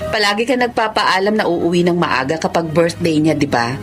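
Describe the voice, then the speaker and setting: A woman's voice: lowish voice, a little fast, close to an everyday voice. A woman playing a caring, easily panicked young mother and employer in a Filipino TV melodrama, with a Filipino accent. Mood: gentle, grateful. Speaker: actor in a drama. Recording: clearly noisy location sound.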